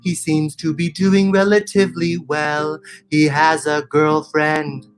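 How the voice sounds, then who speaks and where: Young guy doing comedy songs, theatrical n self-mocking, takes it real slow, guitar under him. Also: fairly high pitch, level tone. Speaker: musical comedian. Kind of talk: comedy songs and stage patter for an online show.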